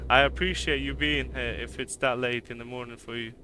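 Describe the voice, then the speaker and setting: Man streamer over a steady hum. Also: mid-range voice, a little fast. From Poland. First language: Polish. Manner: friendly, greets viewers by name, thinks aloud with fillers. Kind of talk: live-stream chat reading viewer comments.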